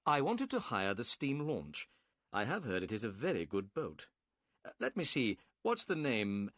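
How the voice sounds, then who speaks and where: A man voicing a calm, clever male detective who speaks politely, briskly and with assurance. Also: mid-range voice, dry recording, studio quality, heavily acted. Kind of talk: audiobook character voice.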